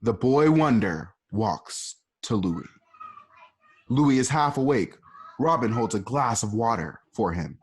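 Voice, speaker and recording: A man's voice: medium pitch, expressive, takes it slowly, a little hiss or hum. A man narrating stage directions for a home radio play, casual and offhand. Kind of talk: reading stage directions aloud.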